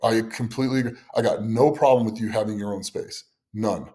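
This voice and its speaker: A man hosting a casual podcast chat, with a loud voice and an emphatic, excitable way of telling stories. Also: fairly low pitch, a little fast. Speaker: podcast host and strongman judge.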